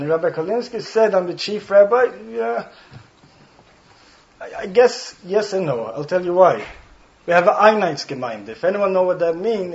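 A rabbi speaking English with a Swedish accent, giving an earnest Torah talk. He explains text phrases one by one, clears his throat often and adds dry jokes. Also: sits high, lo-fi sound. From Sweden. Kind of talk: Torah talk to a study hall.

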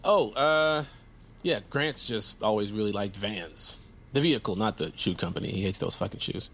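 A man's voice: lowish voice, animated, moderate pace, fairly bright tone, a little hiss or hum. An actor playing an awkward, earnest man in his thirties, a loyal friend who rambles and stammers, in a naturalistic audio drama. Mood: awkward, defensive. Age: 30s. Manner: rambling, stammering, self-deprecating.

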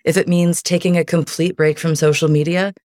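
A woman speaking in an unhurried, soothing voice as an interview guest. She talks in a relaxed, easy way. The sound is dry and close, with no room around it. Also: low-pitched, dry recording. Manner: soft, calming, easy delivery. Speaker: guest, life coach. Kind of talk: casual interview chat.

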